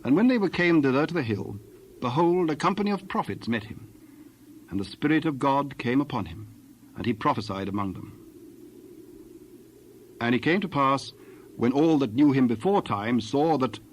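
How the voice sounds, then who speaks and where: A man narrating dramatized scripture in a formal, measured storytelling style. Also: medium pitch, animated, muffled recording, a little hiss or hum.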